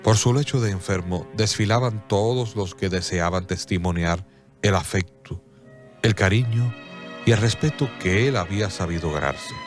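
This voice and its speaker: Low-voiced solemn man narrating over music. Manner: solemn, measured storytelling. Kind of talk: scripted radio drama narration. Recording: clear music bed behind.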